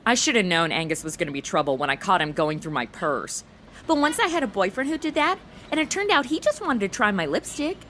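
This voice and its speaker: A woman's voice: mid-range voice, sing-song, a little fast, a bit bright, a little hiss or hum. An actress playing a brash, flippant young woman in a comedy audio drama, full of modern slang and sass. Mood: breezy. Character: brash, sassy modern young woman stuck in radio shows.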